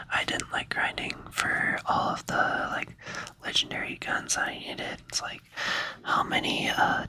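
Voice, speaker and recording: A young man whispering in a rambling, playful ASMR style, with many fillers. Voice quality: soft whisper. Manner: rambling, playful, says "like" a lot. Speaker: ASMR creator.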